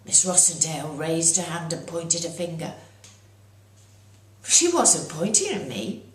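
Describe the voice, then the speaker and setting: A woman reading her own children's story aloud as its author, in an expressive narrating style. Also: sits low, measured pace. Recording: roomy echo.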